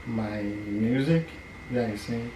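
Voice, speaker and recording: A man's voice: on the low side, takes it slowly, warm tone. A middle-aged man from Nigeria, easygoing and agreeable as he chats with his daughter on her vlog. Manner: easygoing, short agreeable replies. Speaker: guest, the vlogger's father. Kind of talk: casual conversation. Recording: echoey room with audible noise.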